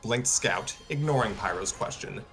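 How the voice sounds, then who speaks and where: A man's voice: fairly low pitch, animated, normal speed, fairly bright tone. A man narrating a scripted story as host of a live stream reading. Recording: music behind the voice.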